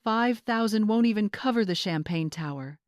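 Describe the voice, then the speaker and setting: A woman's voice: mid-range voice, expressive, normal speed, dry recording, studio quality, voice pushed up, lightly acted. A woman voicing a spoiled, bossy young bride influencer in a narrated story, snapping, scornful and entitled.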